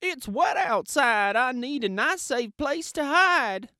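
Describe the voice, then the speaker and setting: A man voicing a fussy hen in a shrill, clucking voice for a storybook reading. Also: lively intonation, slow, pushed and bright, dry recording, studio quality, exaggerated voice. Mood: worried.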